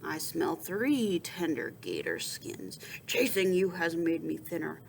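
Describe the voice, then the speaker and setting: A big, menacing storybook boar, acted out by a woman reading aloud, hungry and raspy. The threats come slowly in a snorting, swinging chant, with some noise behind the voice. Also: low-pitched, very animated, pitched below the performer's own voice, full character voice.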